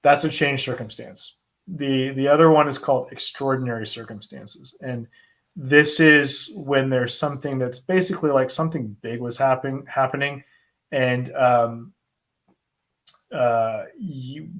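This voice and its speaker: A man with an American accent answering viewer questions in an informal, conversational way, thinking aloud with pauses as he explains. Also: mid-range voice, a bit bright. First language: English. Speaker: host, asylum lawyer answering viewer questions. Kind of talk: informal live Q&A, explaining law.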